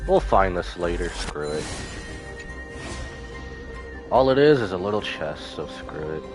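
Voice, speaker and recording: Male streamer: low voice with animated swings, casual commentary, game music behind. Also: measured pace. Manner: muttering to himself, questioning. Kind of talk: casual live gameplay commentary.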